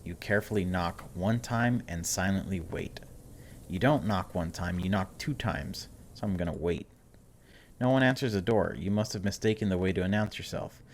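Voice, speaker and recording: A man with a low voice plays through a game for viewers. He reads the text aloud and comments on it in an informal, chatty way, dropping wry remarks as he goes. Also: normal speed, slight background noise. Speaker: video game streamer. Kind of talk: casual let's-play commentary mixed with reading game text aloud.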